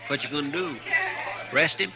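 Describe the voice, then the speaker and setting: An actor playing a loyal, folksy American deputy in a 1950s radio western, earnest and deferential. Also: male voice, on the high side, brisk, loud music behind the voice, natural voice, poor audio. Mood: alert, deferential.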